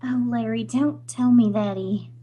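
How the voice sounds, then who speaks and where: A woman's voice: a little high, fairly even intonation, unhurried, warm tone, a little hiss or hum, lightly acted. A woman playing a nervous, easily spooked young wife in an old-time radio comedy, anxious and wryly pleading. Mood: disheartened.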